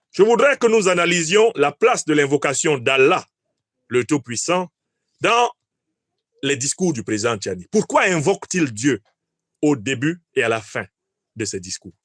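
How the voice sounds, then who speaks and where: A man's voice: fairly high pitch, a bit bright, dry recording, studio quality. Emphatic, declamatory man. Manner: declamatory, with emphatic pauses and rhetorical questions. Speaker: political commentator. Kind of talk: oratorical analysis of a speech.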